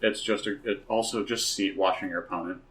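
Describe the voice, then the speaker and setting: A man chatting casually and excitedly about a card game, profane and emphatic, telling stories with gusto. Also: lowish voice, a little fast. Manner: profane, emphatic, animated storytelling. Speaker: podcast co-host.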